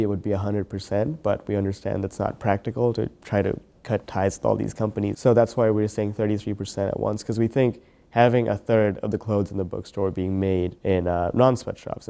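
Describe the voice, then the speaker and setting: A young man from Bangladesh speaks in a relaxed, easygoing way, low and a little gravelly. His tone is mellow and dark. Soft music plays faintly underneath. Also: fairly quick, hardly any pauses. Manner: relaxed, easygoing, with fillers and small laughs. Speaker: student group founder. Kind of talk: interview.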